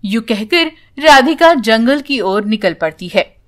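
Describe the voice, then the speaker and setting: Slow, high-pitched woman storyteller. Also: animated, a bit bright. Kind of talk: scripted children's story narration.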